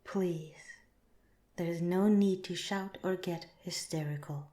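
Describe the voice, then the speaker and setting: A woman voicing a calm, clinical alien researcher in an ASMR roleplay, speaking softly with measured, formal politeness. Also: lowish voice. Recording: roomy, echoing sound.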